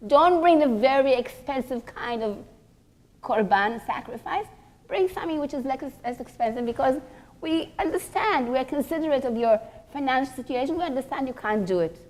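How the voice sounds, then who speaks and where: A woman with a fairly high voice gives a religious lecture. She speaks deliberately and emphatically, breaking her thoughts into short phrases with pauses. She often poses rhetorical questions as a teacher would. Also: animated, darkish tone. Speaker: religious teacher giving a class for women.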